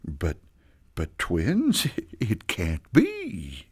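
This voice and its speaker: Man playing a slow, wildly swooping Santa. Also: deep voice, wildly swooping pitch, breathy edge, pitched below the performer's own voice, heavily acted. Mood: moved. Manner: halting, dramatic. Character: earnest, emotional Santa, bewildered new father.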